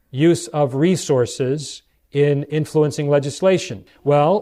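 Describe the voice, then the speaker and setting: An American man preaching in a lecture-like Bible study, explaining deliberately and putting rhetorical questions to his listeners. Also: a little high, dark tone. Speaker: preacher, church elder.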